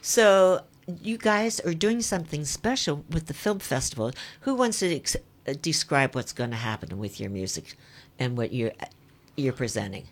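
A woman with a notably low voice hosting a radio interview. She is chatty and upbeat, steering her guests along with friendly questions. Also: animated, moderate pace. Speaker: radio host.

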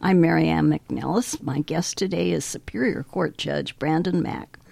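A woman's voice: fairly low pitch, creaky, darkish tone, breathy edge, dry recording. A woman hosting a local radio interview show, chatty and upbeat, laughing easily with her guest.

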